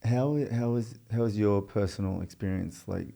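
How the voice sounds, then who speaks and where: A man interviewing a guest, asking relaxed questions full of fillers. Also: lowish voice, normal speed, creaky. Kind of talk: casual interview.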